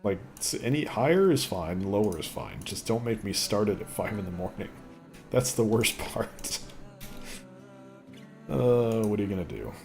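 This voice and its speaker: A man rambling casually while commentating on a gameplay video, with a voice he says sounds deeper since an illness. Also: expressive, normal speed, gravelly, darkish tone, faint music behind. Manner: rambling, chatty, thinks aloud. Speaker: gaming video host. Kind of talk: casual let's play commentary.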